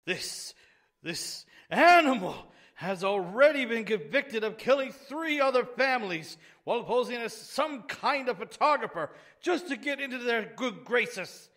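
An actor playing a righteous courtroom prosecutor with theatrical, indignant oratory in a comic dub. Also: male voice, very high voice, lively intonation, unhurried, fairly bright tone, heavily acted. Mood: outraged. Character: outraged male courtroom prosecutor, righteous and impassioned. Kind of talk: comic dub character acting.

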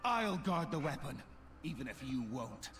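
A man's voice: medium pitch, sing-song, normal speed, a bit bright, reverberant. An actor playing a stern male druid warrior in a fantasy video game, scolding bluntly. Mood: angry, reproachful. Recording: game audio with noisy ambience.

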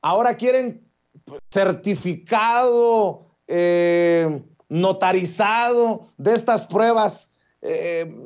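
A middle-aged man hosts a political talk show from his office over a video call. His voice sits high for a man and comes through muffled and lo-fi. He talks fluently and sarcastically, with a habitual "eh" between phrases. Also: a little mellow. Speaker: host. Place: office with window blinds, indoors. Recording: dull lo-fi webcam audio.

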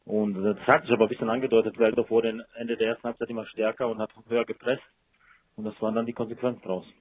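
A man's voice: lowish voice, flat delivery, quick pace, no room sound. A man speaking as a football coach in a post-match interview over a telephone line. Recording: muffled, dry telephone line.

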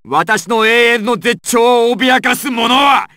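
Arrogant anime mob boss villain, super theatrical and threatening, dry studio sound, game voice lines. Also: male voice, very high-pitched, takes it slowly, sharp tone, no room sound, shouting, exaggerated voice. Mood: furious, shouting. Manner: imperious, menacing, theatrical delivery. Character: proud, ruthless male crime boss villain. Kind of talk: dramatic anime fighting game voice acting.

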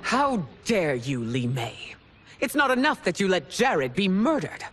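An actress playing a furious, grieving empress who accuses an old friend. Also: female voice, on the low side, very animated, a little slow, background noise. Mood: outraged. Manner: outraged, accusing.

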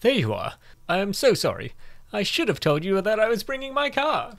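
A woman's voice: mid-range voice, wildly swooping pitch, moderate pace, gravelly. A woman voicing a polite, earnest, concerned young man from a comic. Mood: concerned, apologetic. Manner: considerate, apologetic, earnest.

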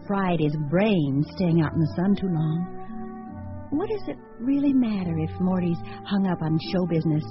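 A woman's voice: medium pitch, lightly acted. An actress in a radio drama playing a wry, romantic single woman who muses and questions herself theatrically. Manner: theatrical, musing, self-questioning. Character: Laura, wry romantic single woman weighing her suitors. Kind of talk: radio drama acting, monologue and dialogue. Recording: muffled audio with music.

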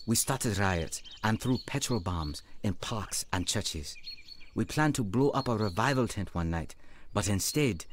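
A man's voice: on the low side, lively intonation, unhurried, slight background noise. An actor playing a humble African evangelist, telling his life story earnestly in a radio drama. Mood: candid, sorrowful. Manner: earnest, reflective. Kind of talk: dramatised first-person narration and dialogue.

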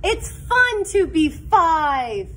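A woman's voice: very high-pitched, a little hiss or hum. A middle-aged woman, a kindergarten teacher, reading a picture book aloud expressively with asides to the children, stretching her words. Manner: animated, deliberate delivery, stretching words for young children. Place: indoors, school classroom.

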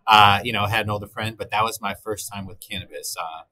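Bright-voiced, polite middle-aged man. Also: lowish voice, fast talker. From Texas, raised in Michigan. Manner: polite, earnest, laughs easily. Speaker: guest. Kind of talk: casual video-call interview. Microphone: video call.

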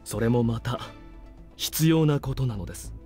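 Man playing a mellow, composed military major. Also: medium pitch, expressive, fast, lightly acted. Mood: composed, courteous. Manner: smooth formal politeness. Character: polite, guarded male military major, dutiful and composed. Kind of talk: Japanese visual novel voice acting. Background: game music.

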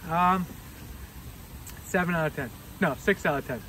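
A man's voice: fairly high pitch, moderate pace, bright, forceful tone, slight background noise, poor audio. A man chatting casually for his camping vlog at an outdoor forest campsite, rambling with lots of "uh" and "like". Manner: laid-back, rambling with many "uh" and "like" fillers. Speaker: overland camping vlogger.

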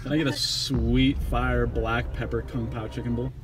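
Young man, deadpan, music underneath. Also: medium pitch, moderate pace. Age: young adult. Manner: deadpan, slangy, sweary. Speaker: prankster ordering at drive-throughs. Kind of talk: casual prank chat. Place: inside a car. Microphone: camera held by passenger beside him.

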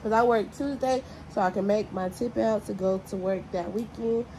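A young woman talks straight to her viewers in a loose, blunt, slangy way, tallying figures aloud as she goes. Her voice sits at an ordinary middle pitch. A faint hiss of background noise runs under the recording. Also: moderate pace, mellow, poor audio. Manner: counting money aloud, chatty and blunt with slang. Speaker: vlogger. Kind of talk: casual vlog talk to camera.

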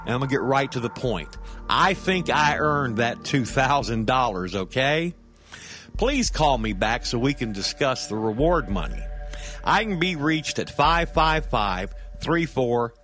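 A man's voice: mid-range voice, expressive, unhurried, fairly bright tone. Casting: male actor as indignant, rattled granddad for comic horror audio drama; chatty, stammering storyteller over music. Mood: exasperated. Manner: conversational, stammering, seeking agreement with tag questions. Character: rattled, indignant grandfather phoning in about a monster cat. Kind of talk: first-person horror-comedy monologue for audio drama.

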